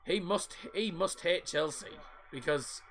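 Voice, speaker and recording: Croaky-voiced man commentating live. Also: a little high, a little slow, a bit bright, a little hiss or hum. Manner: casual, rambling, mixes up names. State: croaky sore throat. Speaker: football stream host. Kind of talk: live match commentary and chat.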